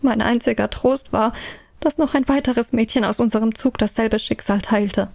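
A woman narrating an audio drama in first person, solemn and measured. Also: fairly high pitch, vocal fry, a little mellow, dry recording, clean studio sound.

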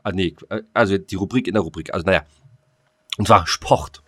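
Low-voiced German man, halting delivery. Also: fairly bright tone. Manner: halting, stumbling, rambling delivery. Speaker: podcast host. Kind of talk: casual banter between friends.

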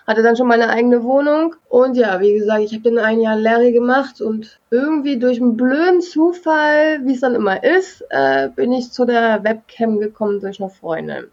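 A woman's voice: on the high side, lively intonation, moderate pace, hardly any pauses. A woman in her thirties from Berlin, chatting casually as a guest on a podcast, with a slightly dull recording. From Berlin, Germany. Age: 30s. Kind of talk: casual chat.

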